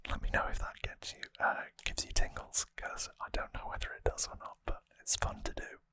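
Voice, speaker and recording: An ASMR host whispering a trivia recap gently and hesitantly, close to the microphone. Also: fairly quick, no room sound. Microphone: close microphone, dry sound.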